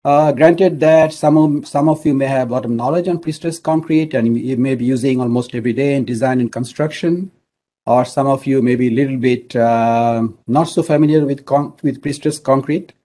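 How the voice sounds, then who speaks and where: A middle-aged man from Bangladesh, an engineering professor, giving an online lecture in a measured way with many fillers. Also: mid-range voice, warm tone.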